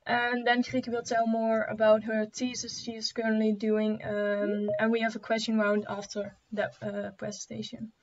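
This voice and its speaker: A woman's voice: a little high, completely flat delivery, moderate pace, few pauses. Hesitant woman hosting an online event. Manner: hesitant, full of fillers. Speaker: event organizer and moderator. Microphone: video call. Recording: slightly dull online call audio with faint noise.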